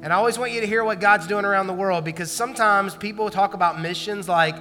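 A man's voice: sits high, fast talker, pushed and bright, long reverb tail, soft background music. A man, a pastor, making casual conversational church announcements and introducing a guest, with loose fillers and restarts. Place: large church auditorium.

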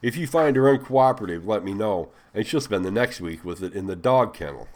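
A man's voice: on the low side, animated, normal speed, darkish tone, natural voice. A man playing a harsh, commanding master. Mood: ordering. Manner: curt, harsh orders. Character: cold, commanding male slave owner.

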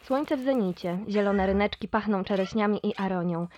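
A woman's voice: mid-range voice, a little fast, heavy background noise. A woman playing a poetic, polished radio presenter heard through a radio in an audio drama. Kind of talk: scripted radio presenting in a radio play. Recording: dull band-limited radio sound, faint music.

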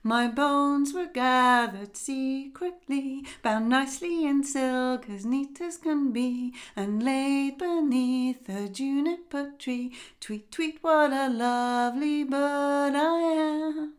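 A woman voicing an eerie singing bird, the spirit of a murdered boy, in a slow sing-song chant. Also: high voice, pitched above the performer's own voice. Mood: haunting chant.

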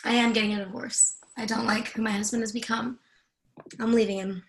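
An actress reads a confident senator's wife in a screen drama. Her voice is fairly high with plenty of creak. She is blunt, teasing and jaded, and happy to swear. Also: level tone, brisk, slight background noise, natural voice. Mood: bitter, resolved. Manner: assertive, teasing, profane. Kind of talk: dramatic screenplay table read.

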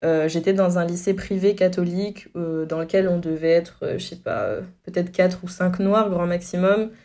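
Soft-toned young woman giving testimony. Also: lowish voice, fairly even intonation, normal speed. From France. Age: 20s. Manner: hesitant, many fillers. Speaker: testimony guest. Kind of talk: personal testimony.

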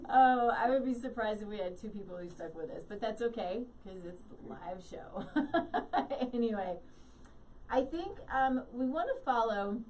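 A woman's voice: mid-range voice, expressive, normal speed, a little mellow. A bubbly woman hosting a live show, laughing often and rambling through her introductions. She sounds a bit distant from the mic, with faint noise underneath. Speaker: host.

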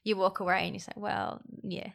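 A woman talking casually as a podcast guest, soft and reflective, trailing off with fillers. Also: medium pitch, flat delivery, fairly quick, creaky. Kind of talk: casual interview chat.

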